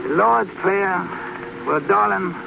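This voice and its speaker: A man's voice: high-pitched, creaky, background noise, exaggerated voice. An actor playing a tender, devout father who patiently explains a prayer to his child at bedtime in an old radio drama. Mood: tender, reverent. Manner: gentle, slow, patient explaining. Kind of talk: 1940s-50s radio acting. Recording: old muffled radio recording, music behind. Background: choir music from a radio.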